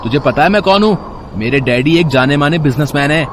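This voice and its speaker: A man's voice: a little high, animated, a little fast, lightly acted. A man voicing an arrogant rich young college student who sneers and bullies in a moral story. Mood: bullying. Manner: sneering, haughty. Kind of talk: animated moral story dialogue. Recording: faint street noise behind.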